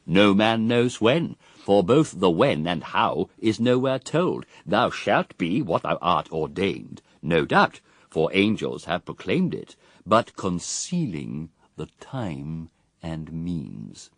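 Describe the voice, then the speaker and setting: An actor playing a cunning, proud fallen-angel tempter in classical radio verse drama, speaking in a deliberate, rhetorical, declamatory way. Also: male voice, sits low, no echo, clean studio sound, heavily acted. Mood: scornful, ominous warning.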